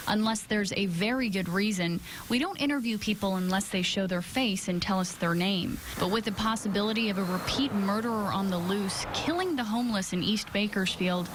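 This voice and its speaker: A woman's voice: medium pitch, noisy background. A woman with an American accent delivering scripted broadcast news narration as a television reporter.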